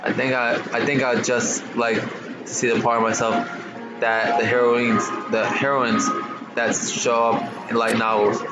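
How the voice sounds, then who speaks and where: A man's voice: medium pitch, little pitch movement, measured pace, rough recording. A man streaming a game, reading its text aloud, stumbling and restarting over words, with slangy asides. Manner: stutters on some words. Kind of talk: casual let's-play reading of game text. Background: loud game music.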